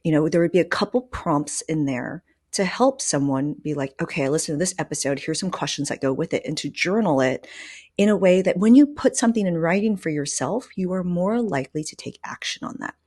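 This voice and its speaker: A woman's voice: deep voice, expressive, brisk, darkish tone. A woman hosting a podcast, chatting casually and candidly with advice, often dropping in "like" and "you know.". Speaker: podcast host. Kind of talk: casual conversational advice chat.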